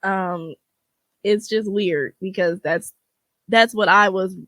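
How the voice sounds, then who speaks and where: A woman's voice: mid-range voice, fairly even intonation, slow pace, bright, forceful tone, no echo. A woman in her twenties chatting casually and frankly as a solo podcast host, slangy and free with swearing. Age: 20s (twenty-three).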